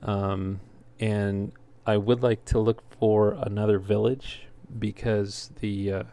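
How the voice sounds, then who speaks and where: Low, flat-toned man narrating gameplay. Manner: halting, thinking aloud. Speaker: let's player, host. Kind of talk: casual gameplay commentary. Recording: clear background noise.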